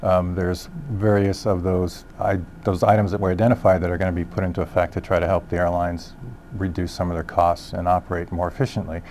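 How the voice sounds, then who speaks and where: A man giving explanatory interview answers as an industry expert, haltingly rephrasing as he goes. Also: deep voice, level tone, darkish tone, slight background noise. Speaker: industry expert, solutions director.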